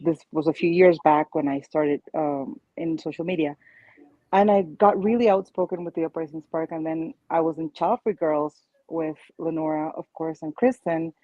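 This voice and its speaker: A woman's voice: low-pitched, fairly even intonation, normal speed, dry recording. A woman whose first language is Spanish, speaking outspokenly in a casual panel discussion over a video call, often restarting her phrases. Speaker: panelist, founder of a Spanish-language online community. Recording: dull lo-fi call audio.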